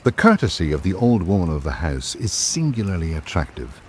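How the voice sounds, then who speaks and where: An actor playing a reflective literary traveller, narrating a radio drama in a measured, formal way. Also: male voice, sits low, very animated, a little mellow, slight background noise. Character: reflective literary traveller recalling island life. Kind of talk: scripted first-person narration.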